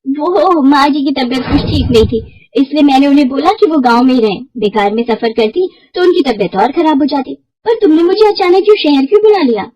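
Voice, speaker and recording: A woman voicing a scheming, bullying young village daughter-in-law for a cartoon story, with a sharp manner. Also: high-pitched, expressive, fairly quick, highs cut off. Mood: falsely sweet.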